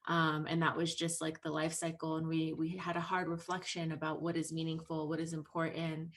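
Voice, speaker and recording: A woman's voice: sits low, completely flat delivery, a little fast. A woman in her thirties giving a loose, earnest presentation on a video call, with many ums and restarts. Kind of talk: online presentation talk. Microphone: laptop mic on video call.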